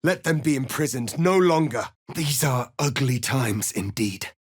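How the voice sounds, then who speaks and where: A stern, defiant warrior prince of an alien people for a fantasy video game. He speaks slowly and formally, with solemn authority in a mid-pitched male voice. The sound is dry, free of room echo. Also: animated, breathy edge. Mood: solemn, determined. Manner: formal, measured, commanding, grave delivery. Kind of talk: dramatic fantasy game dialogue.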